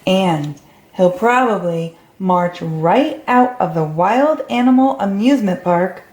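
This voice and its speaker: A woman's voice: medium pitch, expressive, slow, darkish tone. A woman reading a storybook aloud to young children. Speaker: storybook reader. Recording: roomy, echoey recording.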